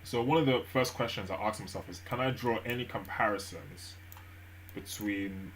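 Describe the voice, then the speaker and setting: A man live-streaming his language study, thinking aloud with many fillers and restarts at a gentle pace. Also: lowish voice, normal speed, slight background noise. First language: English. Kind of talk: relaxed study stream narration.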